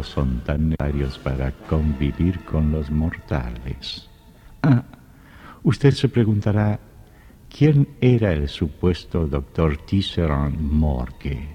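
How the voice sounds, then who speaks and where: An actor playing a sinister, gloating vampire villain who narrates in old-time horror radio style with slow, mock-courteous theatrical delivery. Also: male voice, a bass voice, warm tone, exaggerated voice. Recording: muffled old radio drama recording. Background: music.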